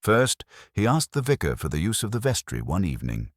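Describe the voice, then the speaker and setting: A man narrating a scripted mystery story in a measured, literary and theatrical manner. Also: on the low side, wildly swooping pitch, no echo, studio quality.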